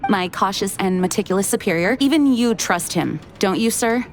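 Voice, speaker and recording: An actress playing an earnest, dutiful young knight commander in a video game, speaking formally and carefully. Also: female voice, medium pitch, animated, normal speed, faint music behind, natural voice. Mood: composed, serious.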